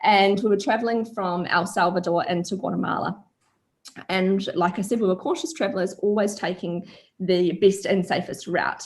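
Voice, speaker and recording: A woman's voice: mid-range voice, fairly even intonation, normal speed. Kiwi accent young woman, coach guest on a zoom call, rambly storyteller. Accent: New Zealand. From New Zealand. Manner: chatty storytelling, frequent ums. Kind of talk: casual video call interview. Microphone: video call.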